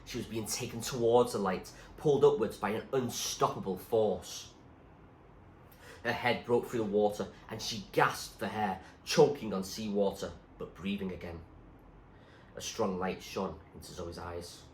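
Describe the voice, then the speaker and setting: A man reading a story aloud in an expressive, suspenseful narrating style. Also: on the low side, measured pace. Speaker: reader, teacher. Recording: echoey room with faint background noise.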